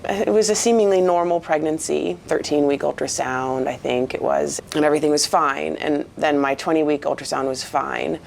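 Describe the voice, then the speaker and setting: Low, creaky American woman in her forties. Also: steady tone, moderate pace, few pauses, a little mellow, a little hiss or hum. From Maine, United States. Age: 40s. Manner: reflective, earnest. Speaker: interviewee. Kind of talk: personal interview account.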